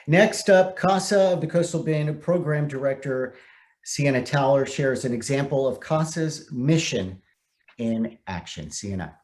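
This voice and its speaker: A man's voice: mid-range voice, animated, unhurried, a little mellow. A man presenting scripted remarks as host of an online community event over a video call. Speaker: event host, news anchor.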